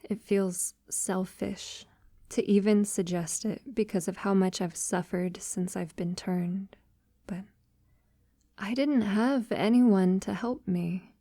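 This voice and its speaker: A woman playing a tender, devoted vampire lover in an intimate ASMR audio roleplay, softly and breathily, often near a whisper, with hesitant pauses. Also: medium pitch, fairly even intonation, no room sound. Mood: earnest, gentle. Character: tender, devoted female vampire lover, wealthy and long-lived.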